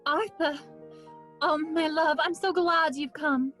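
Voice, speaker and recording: A frightened, lovesick young gentlewoman, played by an actress in a radio drama. Her high voice moves slowly and tremulously, with prominent music, rain and thunder behind it. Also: fairly even intonation. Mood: yearning. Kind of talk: radio play acting.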